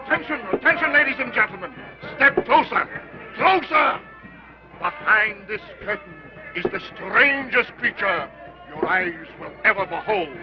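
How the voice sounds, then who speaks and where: An actor playing a loud carnival barker who hawks his show to a crowd, on an old film soundtrack. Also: animated, measured pace, highs cut off, music in the background. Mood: hawking. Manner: hawking, booming announcements. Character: loud male carnival barker, brash and hawking.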